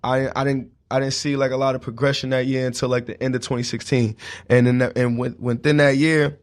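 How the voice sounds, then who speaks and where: A man's voice: mid-range voice, level tone, fairly quick. A man in his late twenties chatting casually as an interview guest, talking in emphatic slang and often checking that he is understood. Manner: slangy, emphatic street talk with repeated check-in phrases. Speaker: guest, hip hop emcee. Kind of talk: casual radio interview chat.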